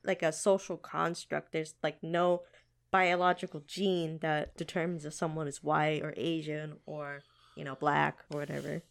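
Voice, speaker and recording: A woman's voice: low voice, flat delivery, moderate pace, gravelly. An American woman co-hosting a casual podcast, easygoing and full of fillers, laughing often. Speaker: podcast co-host. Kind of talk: casual chat.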